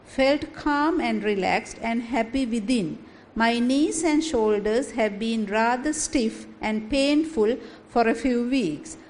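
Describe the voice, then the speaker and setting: A woman reading her meditation report aloud in a steady, even way. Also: on the high side, slow pace. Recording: light background noise.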